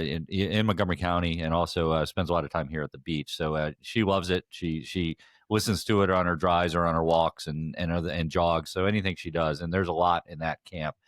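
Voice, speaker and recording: A man's voice: sits low, a bit bright, no room sound, studio quality. A man co-hosting a podcast, with a radio voice, chatting casually and hesitating often with fillers and restarts. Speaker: podcast co-host. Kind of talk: casual chat.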